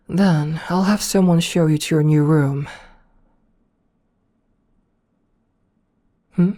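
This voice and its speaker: A woman playing a rich, possessive, seductive female CEO in an ASMR roleplay, softly formal and coaxing, with a politely commanding manner. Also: low-pitched. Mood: confident, persuasive. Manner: slow, softly formal, coaxing with pet names.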